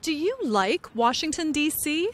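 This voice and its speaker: This is a middle-aged woman reporter outdoors with a handheld mic. Her voice is high and lively, and she speaks with careful, upbeat clarity. Music plays behind her. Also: unhurried. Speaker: reporter host of an English lesson. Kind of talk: slow, clear scripted interviewing. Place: outdoors on city streets. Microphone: handheld microphone.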